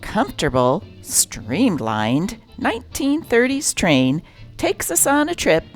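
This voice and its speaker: Woman narrator with lively, swooping pitch. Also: slow pace, soft background music. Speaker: channel host and narrator. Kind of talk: scripted narration, reading vintage newspaper articles aloud.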